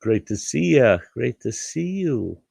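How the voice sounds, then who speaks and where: A slow-talking older man hosts a casual live chat, sounding congested and chuckling as he rambles. His voice is very low and gravelly, recorded close and dry. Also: no echo. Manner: relaxed, rambling, chuckles often, greets viewers by name. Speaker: livestream host.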